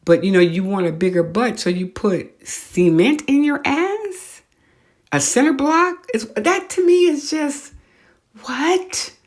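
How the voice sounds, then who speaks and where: A woman with an American accent hosts a casual podcast monologue, talking in an animated, opinionated way with blunt slang. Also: sits high, slow pace. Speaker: podcast host.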